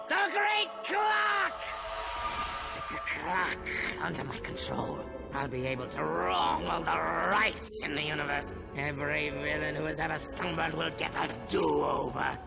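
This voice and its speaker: A man voicing a vengeful robot supervillain who rants bombastically, with loud game music under him. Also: fairly high pitch, sing-song, a little slow, exaggerated voice. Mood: ranting. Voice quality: gravelly, bombastic theatrical ranting.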